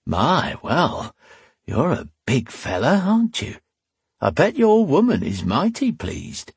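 A man's voice: a little high, wildly swooping pitch, slow, vocal fry, slightly rough, no room sound, pitched above the performer's own voice, full character voice. A man voicing a coarse, lecherous middle-aged tavern woman with a suggestive, folksy drawl in a fantasy audiobook. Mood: flirtatious, lecherous. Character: lecherous, homely heavy-set tavern woman in her forties, coarse.

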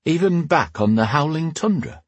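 A man's voice: on the high side, expressive, moderate pace, breathy edge, no room sound, voice pushed up. A man voicing a stubborn, playful young warrior queen in an audiobook fantasy story, proud and tender. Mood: playful, teasing. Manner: playful, teasing, regal when commanding.

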